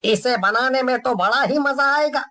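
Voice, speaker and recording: A man's voice: very high-pitched, moderate pace, pushed and bright, no room sound, clean studio sound. A man voicing a lazy, boastful young village man in a cartoon story, gleeful as he dreams of getting famous. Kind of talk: cartoon voice acting.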